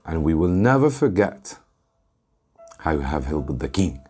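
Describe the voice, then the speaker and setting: A gracious princess, read by a man in a low voice, speaks slowly and formally. She is gentle and forgiving, in a storybook. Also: sing-song, breathy edge, soft background music, exaggerated voice.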